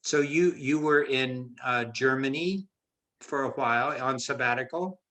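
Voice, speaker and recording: A man hosting a faculty interview, friendly and a bit halting with restarts. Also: mid-range voice, bright, forceful tone. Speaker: interview host, business law lecturer.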